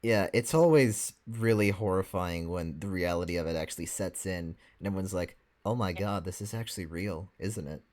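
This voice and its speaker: A man's voice: low voice, normal speed, few pauses, a little mellow. A man co-hosting a casual live reaction, adding conversational comments. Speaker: co-host reacting to a game series.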